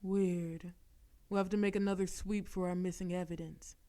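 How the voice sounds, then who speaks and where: A woman's voice: on the low side, flat delivery, moderate pace, mellow. A woman voicing a bold, flirtatious female mafia boss in an audio roleplay. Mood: focused. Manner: teasing, flirtatious. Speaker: voice actress.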